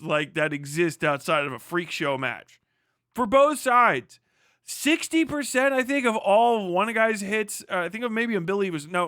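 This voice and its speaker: High-pitched man riffing on a livestream. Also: pushed and bright, clean tone, no room sound, studio quality. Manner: rambling, heavily profane, emphatic. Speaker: livestream host. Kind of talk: casual opinionated livestream commentary.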